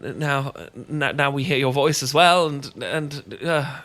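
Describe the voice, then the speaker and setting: A man with an English accent playing a charming, shy young gentleman vampire in an ASMR romance roleplay, polite and old-fashioned, often stumbling over his words. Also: mid-range voice, measured pace. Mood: flustered, excited. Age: young adult.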